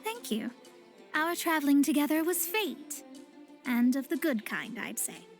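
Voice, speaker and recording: An actress playing a proud, earnest young astrologist in a fantasy game, speaking in a formal, self-assured way. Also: female voice, high voice, expressive, unhurried, soft background music. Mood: earnest, apologetic.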